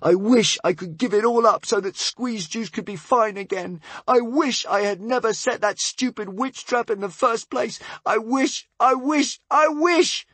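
A man's voice: extremely high pitch, unhurried, hardly any pauses, a bit bright, slightly rough, no echo, pitched above the performer's own voice, heavily acted. Man playing a hot-headed, impassioned young wizard boy. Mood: anguished. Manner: impassioned, defiant.

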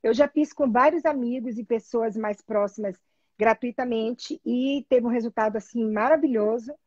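Brazilian woman, middle-aged, high husky voice, casual storytelling, animated, video call. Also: moderate pace, no echo. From Salvador, Brazil. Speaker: guest, therapy trainee telling her experience. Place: small room at home, indoors. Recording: dull, lo-fi video call audio.

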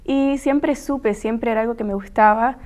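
A woman's voice: fairly high pitch, normal speed, darkish tone. A woman, a guest author answering questions in a small TV studio interview, thoughtful and earnest. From Puerto Rico. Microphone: lapel mic.

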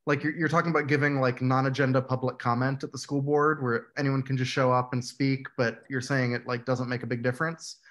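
A man in his thirties leading an online workshop on a video call, explaining points in a measured way while his voice goes hoarse. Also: medium pitch, level tone, fairly bright tone. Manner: measured, explanatory, says "right?" often. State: losing his voice, clearing throat. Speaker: workshop facilitator. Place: room at home, indoors.

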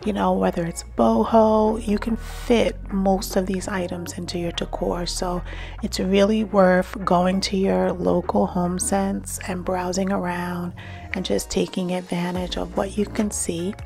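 Gravelly-voiced woman gushing about decor. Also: lowish voice, flat delivery, unhurried, darkish tone, soft background music. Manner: enthusiastic, gushing over decor finds. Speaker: home decor vlogger. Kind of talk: shop-with-me vlog narration. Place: in a car, then walking through large stores.

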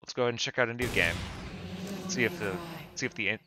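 A man's voice: medium pitch, fast talker, noisy background. A man talking informally through a casual how-to screen-capture video. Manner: informal, conversational. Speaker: tutorial video maker.

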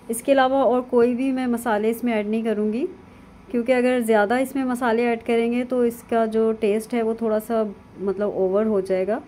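A woman, calmly narrating a cooking lesson step by step over video of her hands at work. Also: a little high, a little hiss or hum. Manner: calm, instructive, with frequent fillers. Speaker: cooking channel host. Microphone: voice-over over hands-only video.

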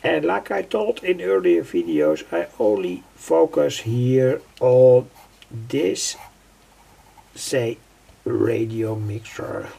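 An older man giving an unscripted hands-on tutorial in a halting, deliberate way, often repeating his phrases. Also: medium pitch, expressive, mellow. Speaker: electronics hobbyist presenter. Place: indoors at a home workbench. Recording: clearly noisy home recording.

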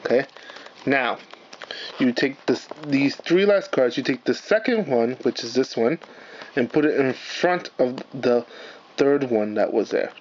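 Man host, hoarse and raspy, chatty with fillers, mid-pitched, dull lo-fi camera sound with faint hiss. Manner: chatty, apologetic, lots of fillers. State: hoarse, partly lost voice. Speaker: channel host, card unboxer. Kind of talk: casual unboxing vlog. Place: indoors at a table, camera on the cards.

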